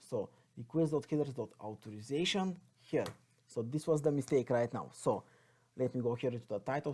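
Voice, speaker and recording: A man's voice: medium pitch, mellow. A man with a Bulgarian accent gives an unscripted, step-by-step coding walkthrough, thinking aloud as he types and spells out code. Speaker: programming tutorial instructor.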